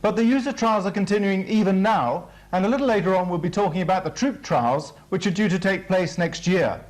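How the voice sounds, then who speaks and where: A British man with a notably high voice delivers a formal military briefing. He explains things in a measured way, as a training film presenter working from a script.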